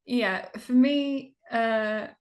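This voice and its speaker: Hesitant non-native woman, lots of pauses. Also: fairly high pitch, darkish tone. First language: not English. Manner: hesitant, many pauses and fillers. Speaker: interview guest, game builder. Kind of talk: casual conversation.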